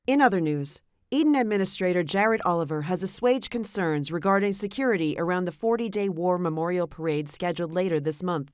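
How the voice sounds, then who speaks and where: A woman voicing a news anchor who reads the news in a formal, crisp way. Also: fairly low pitch, no echo, studio quality, close to an everyday voice. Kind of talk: news reading.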